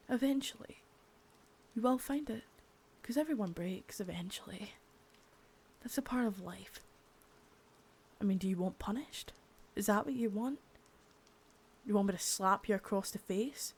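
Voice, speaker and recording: Young woman playing a contemptuous female drug-ring boss, quiet menace, cursing, part-whispered; medium pitch. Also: expressive, measured pace, long pauses, breathy edge. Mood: cold contempt. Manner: menacing, swearing, soft with bits of whisper. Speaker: ASMR creator. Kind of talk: unscripted ASMR roleplay.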